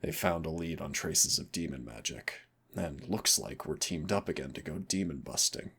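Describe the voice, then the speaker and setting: A man playing a centuries-old demon hunter, teasing and rebellious yet wistful and protective, in an intimate audio roleplay with a gravelly voice. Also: expressive, moderate pace, sharp tone, heavily acted. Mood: teasing, encouraging. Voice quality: very low, gravelly creaky voice. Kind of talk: intimate second-person audio roleplay acting.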